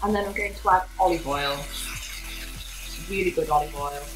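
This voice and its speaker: Low-pitched woman hosting a cooking demo. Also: normal speed, poor audio. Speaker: home cooking show host. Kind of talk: casual cooking demonstration, instructional. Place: modern home kitchen, indoors. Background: background music, frying sizzle.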